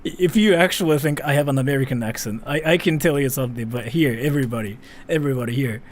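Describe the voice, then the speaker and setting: A man from Japan hosting a livestream, chatting casually as he reads viewer comments, animated and defensive, with lots of restarts. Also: medium pitch, fast talker. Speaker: livestream host.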